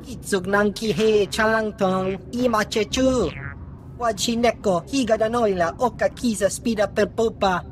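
A woman's voice: medium pitch, a little slow, lightly acted. A woman voicing an alien gangster in a video game, speaking choppy phrases of an invented alien tongue. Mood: guarded. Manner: nonsense syllables in choppy phrases.

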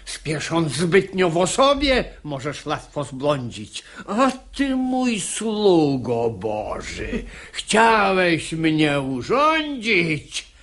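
An actor playing a gullible, outraged master of the house in a radio verse comedy, with heavy, indignant theatrical delivery. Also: male voice, fairly high pitch, very animated, very slow, a bit bright, exaggerated voice. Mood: indignant reproach. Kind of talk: classical stage comedy radio acting in verse.

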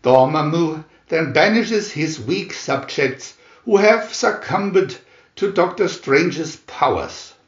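A man's voice: fairly high pitch, expressive, dull audio. Male narrator for comic-book tale: theatrical, swooping delivery, echoey room. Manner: theatrical, measured. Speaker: narrator reading a picture booklet. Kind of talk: dramatic scripted storybook narration.

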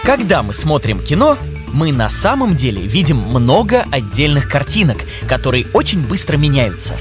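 Lively educational cartoon narrator, a man. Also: mid-range voice, normal speed, music in the background. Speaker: narrator of a children's science cartoon.

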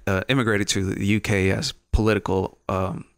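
A man's voice: fairly low pitch, flat delivery, moderate pace, clean tone, no room sound. A young man from Mexico with a Mexican accent, chatting casually as a podcast guest, close on a studio mic. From Ciudad Juarez, Mexico. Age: young adult. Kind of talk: casual chat. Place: small podcast studio, indoors. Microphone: close studio microphone, headphones.

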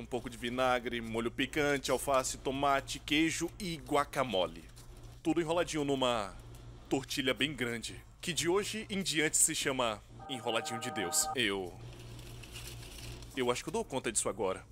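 Low-key Brazilian man chatting casually. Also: fairly bright tone, a little hiss or hum. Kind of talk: casual, rambling chat, dubbed over vlog footage.

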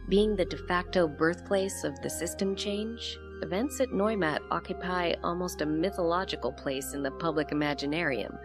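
Woman acting a low-voiced, composed female reporter. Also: normal speed, hardly any pauses, music in the background, lightly acted. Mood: measured, grave. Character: measured, inquisitive female reporter narrating an investigation. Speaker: investigative reporter narrator. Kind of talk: scripted audio drama narration.

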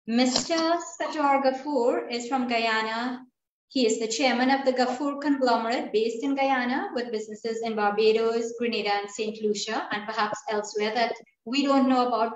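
A woman hosting a video meeting, introducing a guest in a measured, formal way. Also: a little high. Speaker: meeting host. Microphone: video call. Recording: muffled echoey video call audio.

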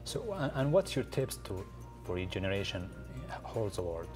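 A middle-aged male TV host with a lowish voice asks his guest questions in a halting, effusive way, stumbling through fillers. He sits on a studio couch at a desk mic, with music clearly running under him. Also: big pitch swings. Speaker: celebrity TV host. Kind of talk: interview hosting. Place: studio set, seated on a couch. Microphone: desk microphone on a stand.

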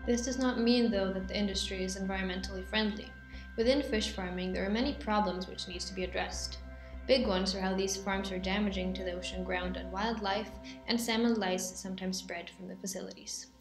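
A woman's voice: mid-range voice, fairly even intonation, a little fast. A teenage young woman student reading scripted documentary narration. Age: teens. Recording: echoey room, loud music under.

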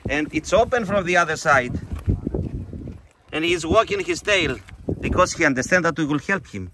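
A man's voice: fairly high pitch, animated. Shocked Greek man filming outdoors. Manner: shocked, slow emphatic broken English. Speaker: animal shelter founder. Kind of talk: on-location rescue commentary. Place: outdoors on a gravel lot in hot sun. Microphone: phone camera held in hand. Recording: noisy outdoor phone audio.

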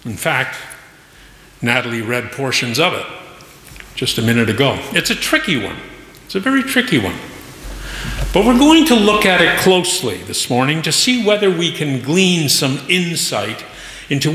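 A middle-aged male minister speaks slowly and solemnly from a pulpit. His bright, forceful voice swings widely in pitch as he delivers prayer and sermon in deliberate phrases. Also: very animated. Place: large church sanctuary, masked at pulpit. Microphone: pulpit microphone. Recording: echoey church hall, faint noise.

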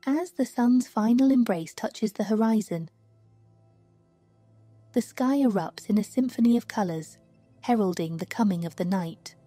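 A woman reading a scripted guided sleep meditation in a slow, soothing, hushed manner. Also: mid-range voice, no echo. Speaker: meditation narrator. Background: music.

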